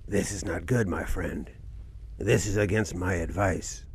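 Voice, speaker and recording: An actor playing a grave, superstitious old doctor who croaks out foreboding pronouncements in a suspense audio drama. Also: male voice, sits low, a little slow, a little mellow, slight background noise. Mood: grave warning. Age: older. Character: ominous, superstitious old male doctor and family friend.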